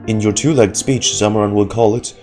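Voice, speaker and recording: A man's voice: on the low side, fairly even intonation, a little fast, darkish tone, natural voice. A man voicing an ancient giant mushroom creature from a game, solemn and formal in archaic phrasing. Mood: solemn, stately. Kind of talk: reading game dialogue aloud. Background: game music.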